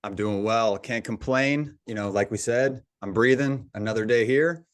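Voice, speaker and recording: A man's voice: mid-range voice, normal speed, clear, resonant tone, no room sound, clean studio sound. A man hosting a podcast, easygoing and a bit hesitant, with many uhs. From Long Beach, California. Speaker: podcast host. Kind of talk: casual podcast interview.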